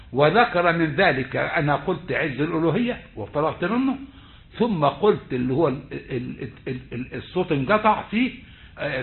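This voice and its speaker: A male university lecturer with an Egyptian accent, his voice sitting slightly high for a man. He speaks slowly and deliberately, stretching words and circling back over his phrases. The sound comes through a thin, phone-like video call line. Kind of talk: online lecture on rhetoric. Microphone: computer mic on a video call. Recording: tinny phone-like call audio.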